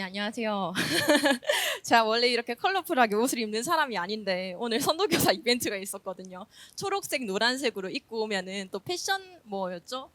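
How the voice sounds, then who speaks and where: A woman's voice: fairly high pitch, fairly bright tone, dry recording. A woman teacher with a slight Gyeongsang accent giving a chatty, self-deprecating conference presentation while nervous.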